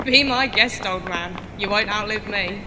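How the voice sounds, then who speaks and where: A woman's voice: mid-range voice, measured pace, background noise, natural voice. A woman playing a cheeky, irreverent worker in an audio drama, with a teasing, brash delivery.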